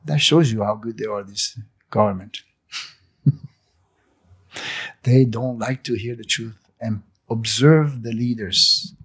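A man's voice: medium pitch, takes it slowly, long pauses, fairly bright tone, husky. A man in his sixties whose first language is not English, speaking emphatically and preachily in an interview in a living room into a handheld mic. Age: 60s. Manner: preachy, emphatic, gestures with hands. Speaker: cafe owner interviewed. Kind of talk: impassioned interview monologue. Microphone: handheld fluffy interview mic.

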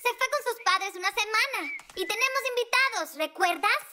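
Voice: very high-pitched, expressive, moderate pace, bright, forceful tone, heavily acted. An actor voicing a bossy, sensible young girl creature in a children's cartoon dub, scolding and explaining. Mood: scolding, firm. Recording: music playing behind the voice.

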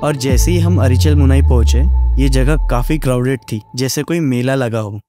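A man's voice: medium pitch, moderate pace, music in the background. A man narrating a road-trip travel video as a voice-over, enthusiastic and mixing languages. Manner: enthusiastic, mixing Hindi and English.

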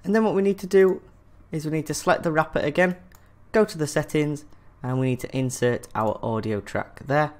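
A man's voice: on the high side, a little fast, few pauses, a little mellow. A man presenting a screencast tutorial in a casual, friendly instructional way.

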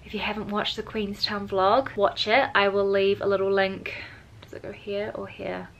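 A woman's voice: mid-range voice, little pitch movement, moderate pace, gravelly, highs cut off, slight background noise. A woman, chatting casually to camera in her bedroom, bubbly and full of ums and likes. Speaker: vlogger. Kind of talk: casual chatty vlog talk. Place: bedroom at home, indoors.

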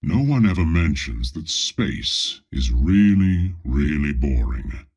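Dark armored space lord for a game: husky, unhurried, imperious, drily pompous menace. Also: male voice, rumbling low, full character voice. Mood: deadpan, pompous. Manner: slow, imperious, pompous, with deadpan comic asides. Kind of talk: video game character voice lines.

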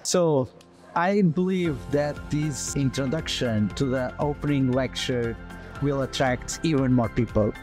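Middle aged guy doing a promo interview, slow n halting, medium pitch, music behind him. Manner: measured, halting phrasing. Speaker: doctor, interviewer. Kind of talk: promotional interview, addressing camera. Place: large open hospital lobby, indoors, seated on sofa. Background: background music.

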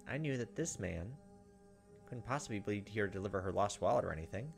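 A low-voiced man reads visual novel narration aloud in a dramatic, halting way. He sits close to a desk microphone, with faint game music behind him, and adds commentary as a host. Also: expressive, creaky. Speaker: gaming video host.

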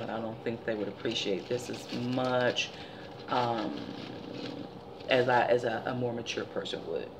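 A woman in her forties with a deep, gravelly, tired-sounding voice, chatting casually as a vlogger in her living room. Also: background noise. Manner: chatty, animated storytelling. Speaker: beauty vlogger. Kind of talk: casual product haul chat. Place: living room by window blinds, indoors.

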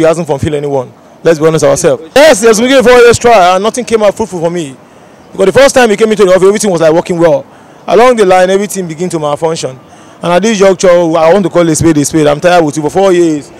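A man's voice: on the high side, darkish tone. A young Nigerian man with a Nigerian accent, giving a blunt, emphatic answer in a street interview. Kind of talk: vox pop street interview. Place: city street outdoors. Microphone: handheld reporter mic.

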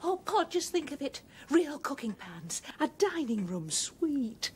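A middle-aged woman with an English accent playing a house-proud, sharp-tongued, acquisitive mother in a naturalistic television drama, fussy, clipped and bossy. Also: high voice, big pitch swings, unhurried, a little hiss or hum. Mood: covetous wonder.

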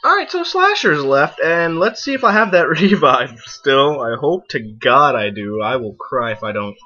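A young man giving casual, excitable let's play commentary, exclaiming and talking to the game and himself. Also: on the high side, normal speed. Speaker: gaming video host. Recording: muffled lo-fi mic, game music behind. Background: video game music.

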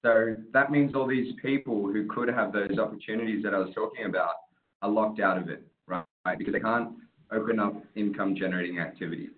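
Man, lowish register, casual upbeat webinar presenter, thin phone-line audio. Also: a little fast. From Australia. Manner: casual, upbeat, tag questions. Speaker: startup presenter. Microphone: video call. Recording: tinny call audio.

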